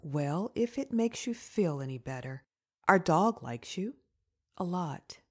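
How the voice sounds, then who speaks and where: A woman playing a kindly, encouraging widow in a comic dub, husky-voiced, gentle and reassuring. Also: lively intonation, takes it slowly. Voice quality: low, husky.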